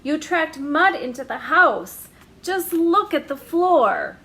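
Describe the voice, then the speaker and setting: A woman's voice: high voice, big pitch swings, slow, sharp tone, voice pushed up, heavily acted. A woman reading the lines of a father in a children's story, voicing his firm, disappointed reproach to his son. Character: a young boy's father, disappointed and stern.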